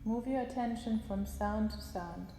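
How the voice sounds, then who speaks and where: A woman guiding a yoga nidra relaxation session, giving slow, calm instructions with long pauses. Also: a little high. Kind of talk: guided relaxation meditation. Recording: roomy echo with long reverb, faint hiss.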